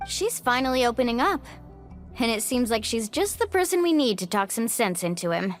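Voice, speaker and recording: A woman voicing an upbeat, confident young adventure guide in an anime-style video game, lively and take-charge. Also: a little high, moderate pace, faint music behind. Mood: focused.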